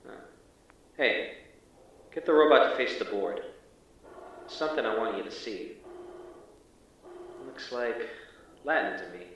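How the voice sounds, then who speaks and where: An actor playing a wry, uneasy field agent who reports in a casual, halting, deadpan way in an audio drama. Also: male voice, medium pitch, lo-fi sound. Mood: casual, puzzled. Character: wry, uneasy male field agent exploring an abandoned school. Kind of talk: audio drama acting, exploration log. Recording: echoey, distant, faintly noisy field audio.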